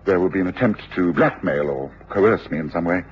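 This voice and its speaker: An actor playing a shrewd, commanding gentleman detective with an English accent, precise and authoritative, in old radio drama. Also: deep voice, expressive, fairly quick. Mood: brisk, commanding. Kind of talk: 1950s-60s radio drama acting. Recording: dull, noisy old radio drama recording.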